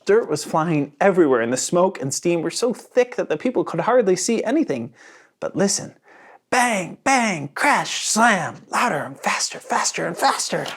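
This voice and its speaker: Storybook reader, really animated and dramatic, mid pitched voice. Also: breathy edge. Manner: dramatic, with sound words and slowed emphasis. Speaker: storybook narrator. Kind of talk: expressive children's storybook read-aloud.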